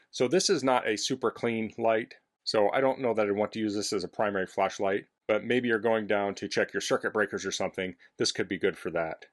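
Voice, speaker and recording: A man casually demonstrating a product indoors in a basement, explaining things in a plainspoken way as a reviewer. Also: fairly low pitch.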